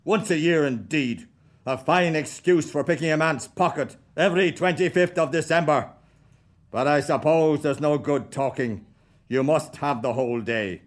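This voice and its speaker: An actor with an Irish accent playing a grumpy, miserly old moneylender in a radio play, gruff and dismissive. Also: male voice, on the high side, normal speed, close to an everyday voice. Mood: grudging.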